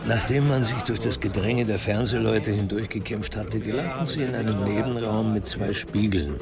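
A man reads literary crime narration for a radio drama over music. His voice is low with a gravelly creak, and his delivery is measured and precise.

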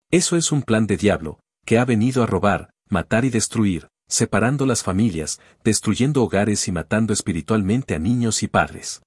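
Earnest Cuban man with a husky voice. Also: lowish voice, dry recording, studio quality. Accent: Cuban Spanish. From Cuba. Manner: earnest, steady reading with long listing phrases. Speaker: audiobook narrator.

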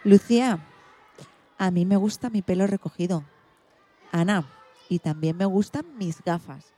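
A woman's voice: lowish voice, lively intonation, a little slow, dark tone, husky. A woman playing an earnest, dreamy schoolgirl in a radio play of a children's storybook. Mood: self-assured.

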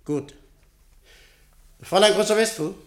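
A ruthless company director in a radio drama, his male voice pitched fairly high. He is curt and controlled, handing down orders as someone who expects no argument. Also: a little slow. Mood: cold, commanding. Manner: curt, commanding, coolly controlled. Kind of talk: German radio play acting.

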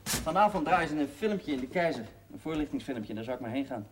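A man's voice: a little high, lively intonation, a little fast, slight background noise, close to an everyday voice. A man acting a curt, wry mine engineer in a naturalistic seventies television drama, speaking in a clipped, matter-of-fact way. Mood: brisk, curt. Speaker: mining engineer.

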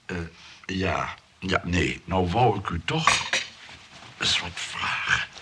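An actor in a comic radio play voicing a lonely, fussy shopkeeper who rambles hesitantly and repeats himself. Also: male voice, deep voice, animated, slow pace. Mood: shy. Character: lonely, fussy shopkeeper shyly courting a nurse. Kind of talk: comic radio drama acting. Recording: noisy old radio play recording.

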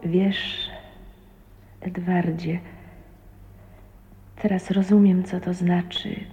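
An actress playing a shy, dreamy woman of twenty-nine in love, tender and wistful, often hushed, in a radio drama. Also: fairly low pitch, flat delivery, very slow. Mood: reflective, hushed. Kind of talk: Polish radio drama acting with inner monologue. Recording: radio play with music behind. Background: music.

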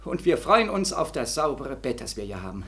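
A feverish, haunted war veteran. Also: male voice, medium pitch, very animated, brisk, a bit bright, slightly rough. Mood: bitterly sardonic. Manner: feverish, sardonic, repetitive, swinging from weary murmur to anguished cries. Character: bitter, sleepless, haunted young returning war veteran. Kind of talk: 1940s German radio drama acting.

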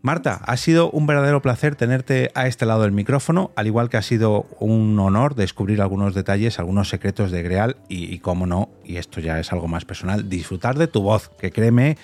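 A man's voice: on the low side, a little fast, few pauses, a little mellow. A man with an accent from Spain hosting a podcast in a chatty, informal, conversational way. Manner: chatty, informal, fond of diminutives. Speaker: podcast host and interviewer. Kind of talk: conversational podcast interview and sign-off.

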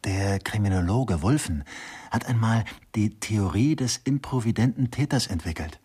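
An actor playing a bookish, earnest assistant detective in a radio crime drama, with a lecturing manner. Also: male voice, lowish voice, lively intonation, normal speed, warm tone, breathy edge. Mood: pedantic. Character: pedantic male assistant detective, bookish criminology buff.

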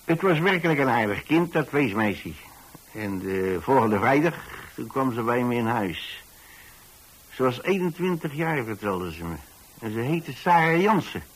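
A man's voice: medium pitch, sing-song, unhurried, breathy edge, poor audio. An actor playing an older, plain-spoken pensioner in a radio drama, telling his story in a hesitant, repetitive, folksy way. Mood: musing. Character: rambling, plain-spoken old pensioner who takes in lodgers. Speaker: narrator of a radio play.

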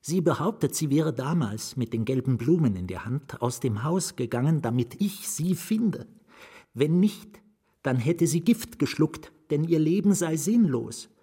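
An actor in a German radio drama playing a haunted, nameless writer who confides in a halting, dramatic way, sometimes hushed to a whisper. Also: male voice, a little high, expressive, slightly rough, full character voice. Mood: intense, enraptured. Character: haunted nameless male writer confiding his lost love.

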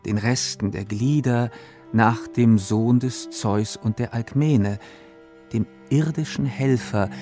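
Mellow male voice, mid-pitched, measured literary reading, articulate, quiet music behind. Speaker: reader of literary quotations.

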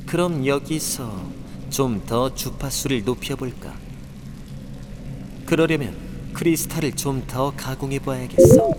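A man's voice: mid-range voice, a little slow, noisy background, natural voice. A man playing an arrogant, irritable young inventor, haughty and curt, in an audio drama. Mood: curious. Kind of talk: Korean audio drama acting.

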